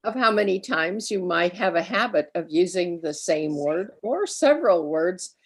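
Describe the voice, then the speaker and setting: A woman's voice: lowish voice, lively intonation, normal speed. A woman explaining her grammarian role to a group on a video call. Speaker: grammarian at a speaking club.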